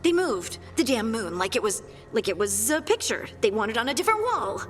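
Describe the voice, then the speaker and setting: A woman's voice: fairly high pitch, very animated, normal speed, in a large hall, faint music behind. An actress playing an anxious, earnest young woman companion in a video game, hesitant and emotional in delivery. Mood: anxious, shaken. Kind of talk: dramatic video game acting.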